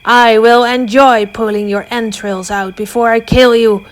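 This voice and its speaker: A menacing male werewolf growling out cruel, loud threats, as a woman storyteller puts him on. The voice sits a little higher and much louder than a plain reading. A faint hum of crickets and insects runs underneath. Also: fairly even intonation, unhurried, lightly acted. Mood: snarling threat.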